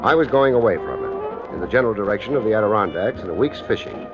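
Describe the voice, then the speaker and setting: A man acting a wry, unflappable private detective with deadpan wit in a 1950s radio drama. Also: fairly low pitch, fast talker, music in the background. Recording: dull old radio recording.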